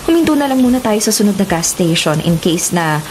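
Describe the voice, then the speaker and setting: Young Filipina vlogger played by an actress, determined and wry, middle-range voice, radio drama with faint hissing noise behind. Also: female voice, mid-range voice, big pitch swings, a little fast, a little mellow, close to an everyday voice. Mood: resigned, practical. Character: determined, wry 29-year-old woman travel vlogger.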